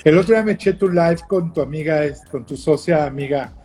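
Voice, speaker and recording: A man's voice: fairly high pitch, a little fast, warm tone, faint music behind. A man with a Mexican accent hosting a casual chat show, teasing and joking with slang. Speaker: host.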